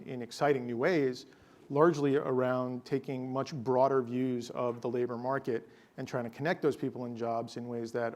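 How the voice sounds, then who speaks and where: A man's voice: mid-range voice, a little mellow. A man on a conference panel, describing his company's mission in an earnest, corporate way. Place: conference stage.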